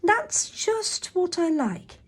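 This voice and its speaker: A woman's voice: very high voice, slow, voice pushed up, exaggerated voice. A woman voicing a mischievous, playful male puddle creature with a tail and paws, speaking in bouncy rhymes. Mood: gleeful. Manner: bouncy, rhyming, excitable.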